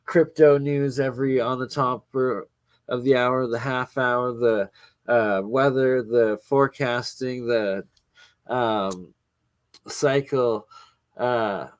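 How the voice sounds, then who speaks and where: A man's voice: mid-range voice, steady tone, slow pace, no room sound. A man in his late thirties hosting a casual livestream chat, rambling through his plans with many fillers. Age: 30s. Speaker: host.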